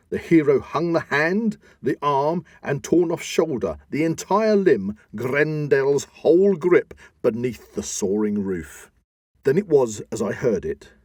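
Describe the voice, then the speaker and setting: A man giving a dramatic reading of epic poetry in a theatrical, deliberate manner with emphatic swells. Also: mid-range voice, a little mellow, no room sound, clean studio sound. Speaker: podcast host.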